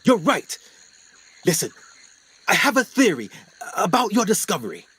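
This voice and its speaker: Man playing a higher-pitched, haunted explorer doctor. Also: wildly swooping pitch, normal speed, bright, forceful tone, a little hiss or hum, full character voice. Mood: urgent, ominous. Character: haunted, educated explorer doctor, grave and anxious. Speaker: actor in a radio play. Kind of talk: dramatic 1920s adventure radio acting.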